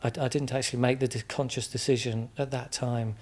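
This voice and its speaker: A man from England with an English accent, answering interview questions informally in a reflective, halting way, often repeating words as he explains. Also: medium pitch, level tone, a little mellow. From England, Midlands. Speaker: interview guest.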